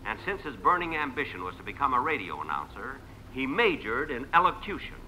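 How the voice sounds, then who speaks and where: A man's voice: mid-range voice, big pitch swings, fairly quick, sharp tone. A man giving scripted comic narration on a 1950s radio show. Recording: very noisy 1950s radio recording.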